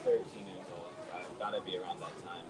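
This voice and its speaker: A man's voice: low voice, fairly even intonation, fairly quick, far from the mic, a loud crowd. A young man, a guitarist, chatting casually and a little hesitantly as an interview guest. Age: young adult. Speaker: band guitarist being interviewed. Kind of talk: casual chat. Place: outdoor terrace by a beach. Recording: noisy outdoor recording, off mic.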